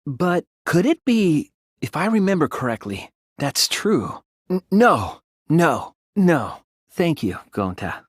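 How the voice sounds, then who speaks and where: A man voicing an earnest, timid yet resolute teenage boy detective in an English anime game dub, polite and soft-spoken. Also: a little high, very animated, slow pace, breathy edge, no room sound, studio quality, exaggerated voice. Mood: flustered, hesitant. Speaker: video game voice actor.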